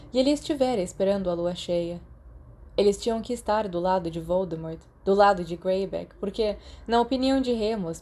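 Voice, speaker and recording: A woman narrates a story in a Brazilian accent. Her mid-range voice is soft and mellow, delivering scripted prose as an audiobook reader. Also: mid-range voice.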